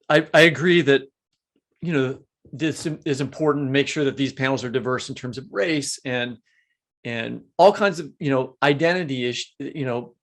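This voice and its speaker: A man from the American Midwest co-hosting a podcast, asking questions casually in a halting, rambling way as he thinks aloud. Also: a little high, fairly bright tone. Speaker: podcast co-host. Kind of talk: casual conversational interviewing.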